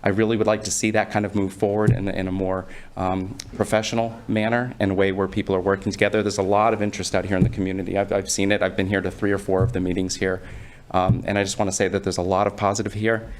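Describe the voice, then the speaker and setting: A man addressing a town council during public comment, earnest and a little halting. His voice sits low and stays flat, with little rise or fall. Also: slight background noise. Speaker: resident speaking at public comment. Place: town council meeting room.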